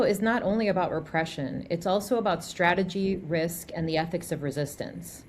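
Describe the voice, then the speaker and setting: Una conduttrice di podcast legge un'introduzione scritta con tono serio e misurato. La voce è piuttosto bassa per una donna. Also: female voice, a little hiss or hum. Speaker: podcast host. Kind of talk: scripted narration.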